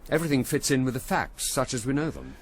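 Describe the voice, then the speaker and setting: An actor playing a keen, deferential army officer in a radio drama, reporting crisply and factually. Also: male voice, medium pitch, big pitch swings, quick pace, lightly acted. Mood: brisk, reporting.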